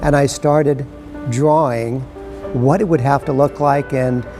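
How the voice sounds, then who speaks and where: A man's voice: medium pitch, fairly even intonation, slow, darkish tone, soft background music. A middle-aged or older man giving reflective interview answers, repeating phrases for emphasis. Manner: emphatic repetition. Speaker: interview guest, entrepreneur.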